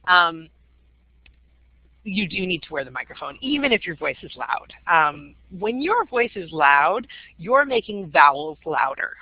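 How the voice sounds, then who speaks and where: A woman's voice: on the low side, dry recording. A woman giving a webinar presentation to teachers, talking conversationally with frequent "um" fillers. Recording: dull, dry webinar audio.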